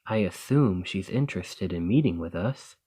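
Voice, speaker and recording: A man voicing a calm, gracious male lamia noble merchant in an ASMR roleplay, speaking softly in a measured, formal way. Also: deep voice. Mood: curious. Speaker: boss speaking to the listener.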